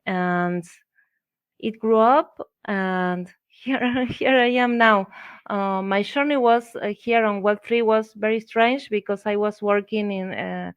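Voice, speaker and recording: Middle-aged female interview guest, Argentine accent, Spanish native, slow halting conversational delivery, dry close sound. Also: mid-range voice, smooth, no room sound, lo-fi sound. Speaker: interview guest, visual artist. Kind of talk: conversational personal storytelling.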